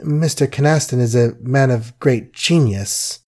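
A man's voice: medium pitch, measured pace, a little mellow, lightly acted. Man voicing an intense, worldly society woman. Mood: defensive. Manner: earnest, emphatic, dramatic. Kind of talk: audiobook character acting.

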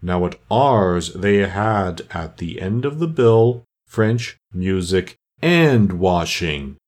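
A man voicing a melancholy old Mock Turtle from a storybook, solemn and self-pitying, with slow, drawn-out speech. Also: low-pitched, expressive, a little mellow. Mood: mournful. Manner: slow, drawn-out, mournful delivery with heavy pauses.

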